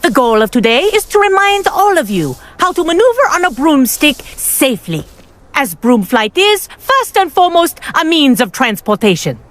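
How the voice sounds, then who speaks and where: A woman playing a strict, brisk flying teacher in a video game, firm and precise in her instructions. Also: high voice, big pitch swings, fairly bright tone. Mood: brisk authority. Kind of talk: video game character acting.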